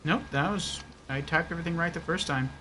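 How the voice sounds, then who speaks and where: A man's voice: fairly high pitch, fairly quick, pushed and bright, a little hiss or hum, rough recording. A man giving casual livestream game commentary, thinking aloud and reasoning things out step by step.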